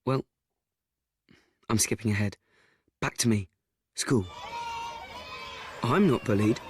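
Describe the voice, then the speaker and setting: A man acting a frank, awkward teenage boy narrating his life in a radio play, deadpan, confiding and self-deprecating. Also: lowish voice, slow pace, breathy edge, no echo, studio quality.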